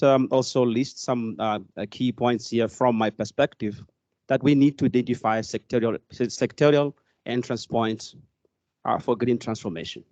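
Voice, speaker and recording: A man from Mozambique with a Portuguese accent, asking a question from the floor at a workshop in halting speech with many fillers. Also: mid-range voice, a little mellow, no echo. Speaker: workshop participant.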